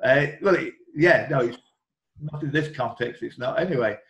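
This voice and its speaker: A man hosting a quiz on a group video call, reading questions in a deliberate way with informal banter. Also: medium pitch, vocal fry, fairly bright tone, highs cut off. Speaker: quiz host and question setter. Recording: echoey room over video call.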